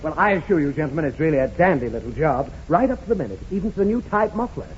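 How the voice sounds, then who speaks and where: An actor playing a brisk, polished car salesman with smooth patter in a 1930s radio comedy. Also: a little high, very animated. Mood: eager selling. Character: brisk, smooth-talking car salesman, long-suffering with customers. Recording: scratchy dull 1930s radio recording.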